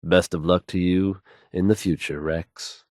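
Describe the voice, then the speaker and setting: An actor playing a confident, smooth-talking radio DJ in a game, delivering fluent and assured announcements. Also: male voice, sits low, fairly even intonation, a little slow, a little mellow, dry recording, clean studio sound.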